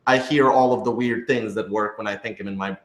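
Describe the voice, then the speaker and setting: Low-voiced man in an echoey room. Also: fairly even intonation, brisk. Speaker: scriptwriter and producer of an audio drama. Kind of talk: casual group Q&A chat. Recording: roomy, echoey sound.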